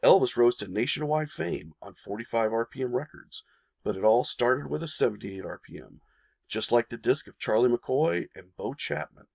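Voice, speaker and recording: A man with a gravelly, mid-pitched voice narrates a history lesson for his channel. He reads the story, then drops into casual asides that point viewers to more. The sound is dry and close, with no room around it. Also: a little mellow, dry recording.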